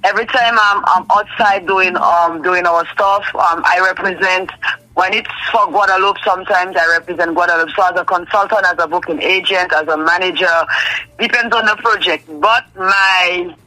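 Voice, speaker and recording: A woman from Dominica, a booking agent talking in a lively radio interview and laughing often. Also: on the low side, normal speed, rough recording. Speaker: booking agent and consultant, guest. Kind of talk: radio interview, promoting tickets.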